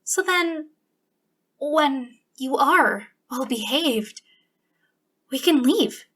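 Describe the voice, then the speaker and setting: Woman performing as unhinged yandere girl, high bright voice, sweetly menacing, breathy ASMR roleplay, lingering pauses, slipping into whispers. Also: lively intonation, exaggerated voice. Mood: giddy, possessive. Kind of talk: ASMR roleplay, intimate acted monologue.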